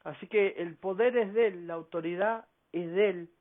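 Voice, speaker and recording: A man giving a slow, earnest radio sermon as preacher, often tagging his points with a questioning "no?". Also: sits high. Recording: phone-like narrow band.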